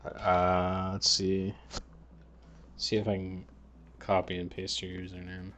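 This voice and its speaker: Slow, low-voiced man streaming a game. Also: monotone, fairly bright tone, a little hiss or hum. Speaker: livestreamer playing a text adventure game. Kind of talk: casual gaming stream chat.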